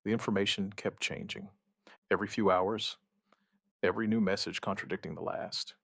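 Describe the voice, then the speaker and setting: A man narrating a scripted first-person survivor horror story, gravely and in short, measured phrases with dramatic pauses. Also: fairly low pitch, fairly even intonation, a bit bright, no room sound, clean studio sound.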